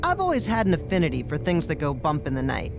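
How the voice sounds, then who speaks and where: An actress playing a mischievous veteran wrestler drawn to darkness in a game cutscene, conversational and teasing. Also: female voice, sits low, wildly swooping pitch, quick pace, gravelly, over music, heavily acted. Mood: intrigued, admiring. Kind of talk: video game cutscene acting.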